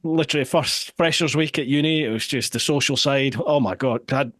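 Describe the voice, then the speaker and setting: A Scottish man in his forties hosting a podcast, telling stories in a casual, self-deprecating way with animated asides. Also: mid-range voice, brisk, no room sound, clean studio sound. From Scotland. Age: 40s. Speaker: podcast host. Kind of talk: casual chat.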